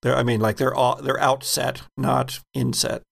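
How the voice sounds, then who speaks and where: A man with a gravelly voice narrating a tabletop roleplaying game as game master, descriptive and deliberate. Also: mid-range voice, level tone. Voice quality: gravelly, creaky.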